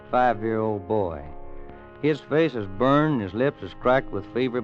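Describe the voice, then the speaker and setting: An actor playing a weathered, plain-spoken old cowboy rancher with an American Western drawl and folksy rustic grammar in a radio western. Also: male voice, fairly low pitch, close to an everyday voice. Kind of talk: 1950s radio western acting and narration. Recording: muffled old radio recording with music.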